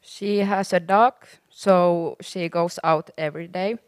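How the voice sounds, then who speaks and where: A woman's voice: fairly low pitch, fairly even intonation, takes it slowly, clear, resonant tone, no room sound. A nineteen-year-old woman from Finland reading a student presentation into a handheld mic. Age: teens.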